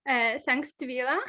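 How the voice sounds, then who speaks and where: A woman's voice: sits high, a bit bright. A woman with a Ukrainian accent, a club president introducing guests at an online meeting and laughing often between names. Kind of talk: casual introductions.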